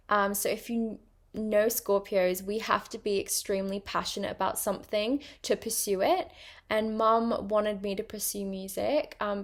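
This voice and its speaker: A young woman with a partly British accent, chatting casually on her own podcast with plenty of ums and likes. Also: mid-range voice. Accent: mixed British and international. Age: young adult. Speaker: podcast host. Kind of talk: casual personal monologue.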